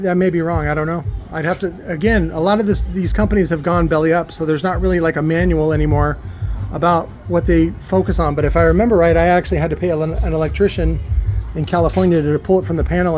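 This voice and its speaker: A man giving an informal technical explanation as an electronics builder, halting and often repeating words as he works through his point. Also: on the high side, fairly even intonation, darkish tone, slight background noise.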